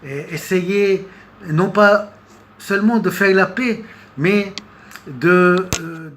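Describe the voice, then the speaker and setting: Very slow, halting man giving commentary. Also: high-pitched, fairly bright tone. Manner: halting, hesitant, with long pauses and repeated words. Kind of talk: unscripted political commentary monologue. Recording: noticeable background noise.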